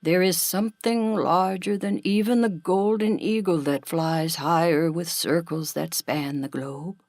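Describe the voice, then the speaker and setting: Woman voicing a patient, slow-spoken sensible animal guide. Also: on the low side, fairly even intonation, darkish tone, dry recording, studio quality. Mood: solemn. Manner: measured, formal, admonishing. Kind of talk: animal storybook character voice.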